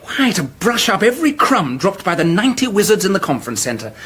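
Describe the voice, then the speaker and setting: An actor playing a solemn, gullible young apprentice hero in a comic radio fantasy, husky-voiced, with grave archaic phrasing. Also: male voice, high voice, very animated, fairly quick, fairly bright tone, exaggerated voice. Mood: proud.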